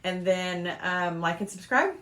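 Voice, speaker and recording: A woman's voice: fairly low pitch, expressive, unhurried, pushed and bright. A woman co-hosting a podcast in a casual, chatty style. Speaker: podcast co-host. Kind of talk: casual chat.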